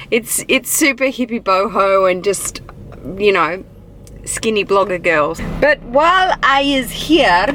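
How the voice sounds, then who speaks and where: A woman's voice: medium pitch, pushed and bright. A woman in her thirties with an Australian accent, chatting casually to camera from her car for a vlog, rambling and upbeat. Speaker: vlogger. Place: parked car. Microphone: dashboard camera. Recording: noisy car interior.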